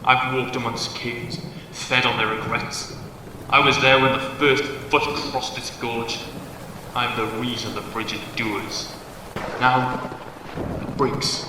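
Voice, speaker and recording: An actor playing an ancient, menacing devil bound to a bridge, making pompous, theatrical declarations in an audio drama through heavy reverb. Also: male voice, medium pitch, flat delivery, slow pace, long pauses. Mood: grandiose. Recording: distant, cavernous echo, noisy ambience.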